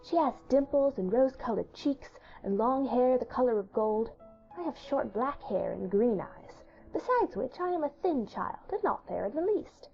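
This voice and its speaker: A woman's voice: fairly high pitch, lively intonation, normal speed, vocal fry, lo-fi sound, soft background music, pitched above the performer's own voice. A woman voicing a solemn, frank, imaginative little girl in an earnest way for an audiobook drama. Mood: earnest, puzzled. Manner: earnest, serious.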